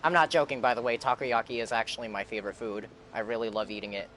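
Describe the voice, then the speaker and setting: A man's voice: mid-range voice, steady tone, fast talker, fairly bright tone, a little hiss or hum. A man casually reacting as a game streamer, informal and earnest, often repeating himself. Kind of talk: casual live reaction commentary. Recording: game music behind the voice.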